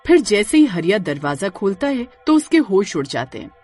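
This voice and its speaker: A woman's voice: mid-range voice, big pitch swings, normal speed, darkish tone. A woman narrating a scripted horror story as a storyteller.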